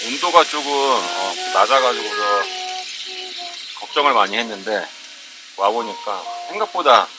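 A man's voice: on the low side, animated, unhurried, pauses often, over music, poor audio. A man talking casually and politely to viewers as a camping vlogger, recorded at a winter campsite. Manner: polite, chatty, addressing the audience. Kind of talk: casual narration to viewers while camping alone. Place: winter campsite, outdoors and in a tent. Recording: clear outdoor ambient noise.

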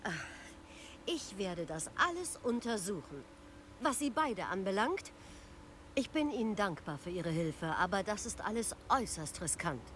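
Stern female officer for a fantasy game, formal, admonishing, mid pitch, faint ambience noise. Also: big pitch swings, a little slow, a bit bright. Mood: firm, admonishing. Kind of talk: video game voice acting.

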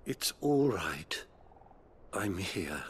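An actor playing a gentle middle-aged priest with a British accent, speaking formally and compassionately in a video game. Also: male voice, low voice, very animated, extremely slow, a little hiss or hum, exaggerated voice. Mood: gentle, calm. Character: gentle, solemn middle-aged abbey priest tending the dying. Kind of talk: video game cutscene acting.

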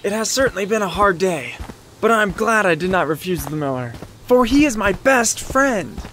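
An actor playing a meek, trusting, eager-to-please gardener in an audio storybook drama, earnest and apologetic. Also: male voice, high-pitched, lively intonation, moderate pace, fairly bright tone, a little hiss or hum. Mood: weary, trusting. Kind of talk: audio theatre storybook acting.

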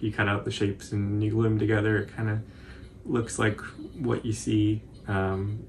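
A man, casually explaining a craft project in loose, halting phrases. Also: on the low side, gravelly, a little hiss or hum. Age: 20s. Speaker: cosplay tutorial co-host. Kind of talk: casual unscripted tutorial commentary. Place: indoor living room, seated on couch.

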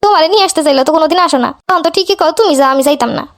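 A high-voiced young wife played by a woman in a homemade cartoon, feeling neglected and answering with defiant sarcasm. She scolds at extreme speed in a Bangladeshi Bengali accent. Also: very fast. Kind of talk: amateur cartoon dialogue.

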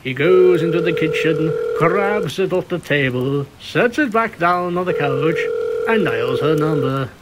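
Slow, higher-voiced male story narrator. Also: animated, very noisy. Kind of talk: scripted storytelling, fan fiction reading.

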